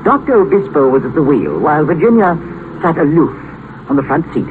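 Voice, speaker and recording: An actor playing the urbane narrator of a 1950s radio play, delivering scripted dramatic narration. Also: male voice, a little high, very animated, brisk, narrow, tinny audio. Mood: composed, wry. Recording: thin, noisy old radio broadcast.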